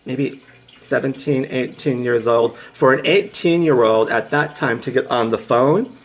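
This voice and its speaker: Playful, chatty young man telling a story. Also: husky. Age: 20s. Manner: chatty and playful, breaks off to greet viewers by name; rambling with restarts, jumps to high excited pitch. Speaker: livestream host. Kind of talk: casual motivational storytelling to live viewers.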